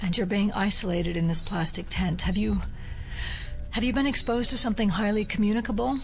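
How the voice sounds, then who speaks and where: A woman's voice: medium pitch, steady tone, a little fast, creaky, natural voice. An American woman playing a composed, concerned FBI agent questioning someone in an audio drama. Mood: concerned, probing.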